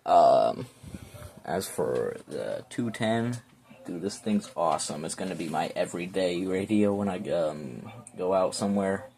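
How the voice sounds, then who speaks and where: A man's voice: sits low, expressive, unhurried, a little mellow. A man giving casual, slangy unboxing commentary in an informal, rambling way. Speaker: amateur video reviewer. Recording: clearly noisy home recording with clicks.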